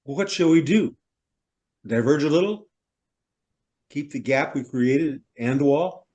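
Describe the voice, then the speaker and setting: A man playing a middle-aged newcomer farmer in a stage play, musing and curious in a deliberate, ruminative manner. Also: on the high side, animated, darkish tone, lo-fi sound. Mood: puzzled. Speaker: actor in a staged reader's theater.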